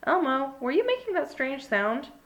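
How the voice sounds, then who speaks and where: A woman's voice: high voice, big pitch swings, normal speed, a bit bright, pitched above the performer's own voice, full character voice. A woman voicing a big, friendly, curious childlike bird while reading a children's storybook aloud. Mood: puzzled.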